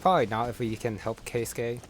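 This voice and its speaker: A man's voice: medium pitch, fairly quick, slight background noise. A man giving casual commentary while playing a video game. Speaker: gamer, let's play commentator. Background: game music.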